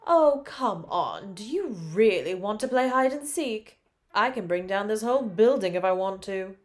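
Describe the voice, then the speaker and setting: A woman's voice: medium pitch, animated, unhurried, close to an everyday voice. A woman playing a bored, taunting supervillain in a superhero audio roleplay, drawling and mocking theatrically.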